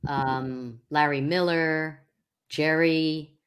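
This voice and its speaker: Deep-voiced older woman comedian. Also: extremely slow, clear, resonant tone. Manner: relaxed, hesitant, full of ums and teasing. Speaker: stand-up comedian, guest. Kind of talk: casual interview chat.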